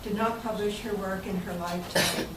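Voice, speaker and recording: A woman's voice: medium pitch, steady tone, moderate pace. A woman giving a prepared literary reading aloud at a club meeting. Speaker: guest reader at club meeting. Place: indoor meeting room. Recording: echoey room, distant mic, background noise.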